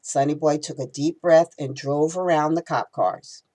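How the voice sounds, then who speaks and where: A woman reading her own novel aloud in expressive storytelling narration. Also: sits low, normal speed.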